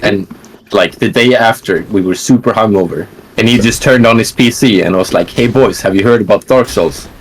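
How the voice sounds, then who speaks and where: Low-voiced guy on a call, laid back, rambles with lots of likes and uhs. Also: moderate pace, husky, poor audio. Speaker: interview guest, gamer. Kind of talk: casual online interview.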